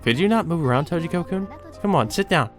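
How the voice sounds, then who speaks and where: A man voicing a calm, nonchalant teenage schoolgirl with a teasing streak in a visual novel. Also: a little high, quick pace, faint music behind, voice pushed up, lightly acted. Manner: nonchalant, non-committal.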